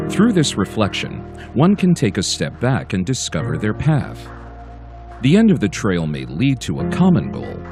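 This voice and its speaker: A man with a lowish voice narrates in a reflective, solemn tone with wide swings in pitch. Guitar music plays clearly behind him. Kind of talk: scripted narration.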